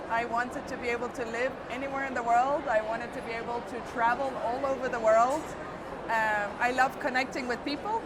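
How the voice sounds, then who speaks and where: A middle-aged woman from Spain, an executive telling her career story in a relaxed interview, speaking fluently with some fillers. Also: a little high. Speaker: hotel company executive. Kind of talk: conversational interview. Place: busy trade show floor. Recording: loud background noise at a trade show.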